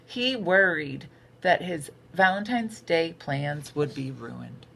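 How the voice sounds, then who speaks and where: A woman's voice: sits low, lively intonation, takes it slowly, gravelly, fairly bright tone. Woman reading a storybook, noisy recording. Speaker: storytime reader. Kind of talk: picture book read aloud for children. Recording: noticeable background noise.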